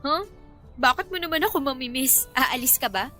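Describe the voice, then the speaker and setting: Bright, high, sassy young woman. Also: normal speed. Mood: indignant. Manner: sassy, bantering. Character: feisty, playful young woman among close friends. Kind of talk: radio drama acting. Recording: music bed behind the voice.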